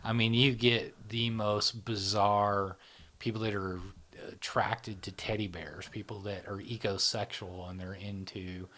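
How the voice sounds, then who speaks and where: A man's voice: on the low side, level tone, a little slow, a bit bright. A man in his fifties who hosts a casual psychology podcast chat, explaining things in small steps and checking in with "right?". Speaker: podcast host.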